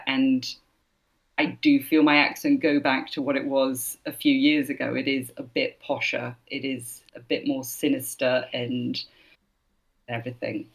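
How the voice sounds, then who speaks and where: A woman's voice: rumbling low, poor audio. A woman from Scotland with no Scottish accent, a voice actor chatting thoughtfully in an interview, with many fillers. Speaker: voice actor, guest. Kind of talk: casual interview chat.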